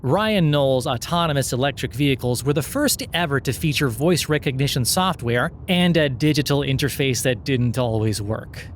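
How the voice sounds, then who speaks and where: A man's voice: mid-range voice, normal speed, hardly any pauses, slight background noise. A man narrating scripted satirical fiction in a sardonic, mock-earnest storytelling manner.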